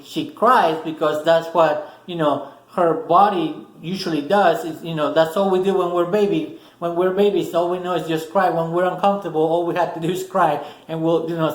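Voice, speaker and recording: A man with a Spanish accent, a native Spanish speaker, explaining a self-help lesson slowly in short, repeated phrases. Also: fairly high pitch, echoey room, poor audio. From Spanish-speaking country. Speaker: self-help video host. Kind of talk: explanatory talk to camera.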